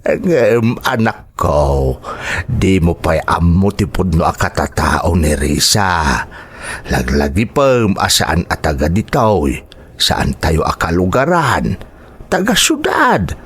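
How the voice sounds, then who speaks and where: A man with a Filipino accent playing a cautious, suspicious middle-aged father in an audio drama, with a husky voice. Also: low-pitched, sing-song, slow pace, full character voice. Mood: wary, concerned.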